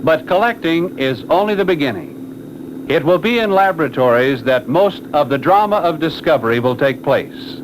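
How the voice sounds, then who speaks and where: A man narrating a scripted 1960s educational film in a formal, measured, expository manner. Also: a little high, lively intonation. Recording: noisy old 1960s film soundtrack.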